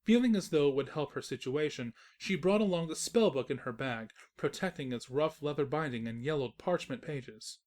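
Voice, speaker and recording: A man's voice: fairly high pitch, moderate pace, hardly any pauses, a little mellow. A man narrating a scripted comic story in a storytelling manner with wry asides.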